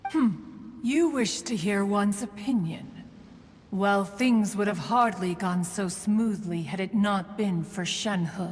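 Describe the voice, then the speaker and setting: A woman voicing a lofty, ancient female spirit in a video game, stern but caring, with imperious, formal and measured speech. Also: medium pitch, natural voice. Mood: haughty, approving. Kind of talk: video game character acting. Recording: long hall reverb with ambient noise.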